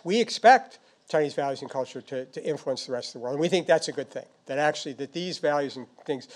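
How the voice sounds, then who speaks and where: A man answering questions as a foreign policy expert, speaking off the cuff in a halting, thoughtful, hedging way. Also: a little high, darkish tone. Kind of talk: unscripted answers at a policy discussion.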